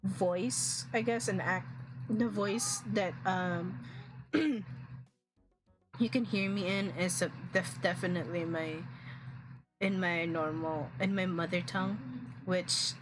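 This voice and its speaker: A woman's voice: fairly low pitch, takes it slowly, pauses often, faint music behind, rough recording. A young woman with a Filipino accent, whose first language is a regional Philippine one, chatting casually on a drawing stream with many fillers and restarts. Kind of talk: casual chat while drawing.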